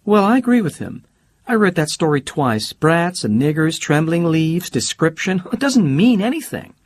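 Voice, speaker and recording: A man voicing a brash, theatrical young woman who talks in a teasing, sharp-tongued way, for an audiobook. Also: a little high, very animated, moderate pace, voice pushed up. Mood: scornful. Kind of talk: audiobook character voice.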